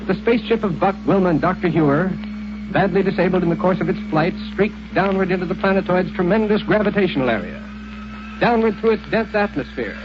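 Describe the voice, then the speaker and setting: A man narrating a 1930s radio serial in a measured, dramatic storytelling manner. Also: high voice, expressive, over music. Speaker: announcer and narrator. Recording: dull old radio recording with hiss.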